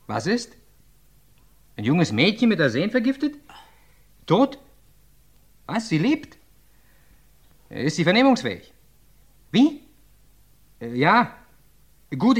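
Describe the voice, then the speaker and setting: An actor playing a lawyer who reasons aloud in a measured, deliberate way in an old radio play. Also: male voice, sits high, big pitch swings, a bit bright, exaggerated voice. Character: thoughtful middle-aged male lawyer sleuth, measured.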